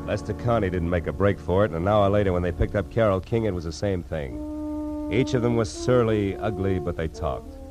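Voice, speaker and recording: A man's voice: normal speed, over loud music, natural voice. A man acting a hard-boiled, world-weary private detective in a 1940s radio drama, with clipped, commanding delivery. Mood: weary, subdued. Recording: noisy vintage radio recording, vehicle rumble.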